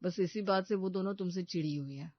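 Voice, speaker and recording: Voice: medium pitch, a little fast, no echo, dull audio, lightly acted. A performer voicing a kind, reassuring young husband in a family story. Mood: caring.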